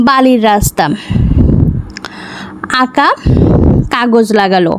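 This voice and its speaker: A woman's voice: sits high, low-quality recording. A woman reading a children's story aloud from a script in short, separate phrases. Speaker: storyteller, channel host. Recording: noticeable background noise.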